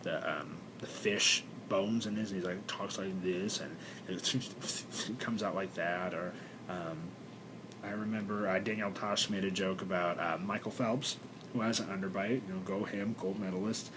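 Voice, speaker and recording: A young man with a congested, stuffed-up voice, talking haltingly in a personal video diary with many fillers and restarts. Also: fairly even intonation. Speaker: vlogger. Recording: noisy recording with low rumble.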